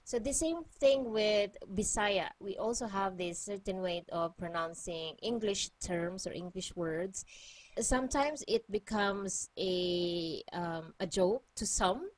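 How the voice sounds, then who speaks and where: A woman's voice: mid-range voice, takes it slowly, no echo, rough recording. A woman from the Philippines with a Cebuano accent, teaching an online vocabulary lesson and patiently repeating each word. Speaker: language teacher.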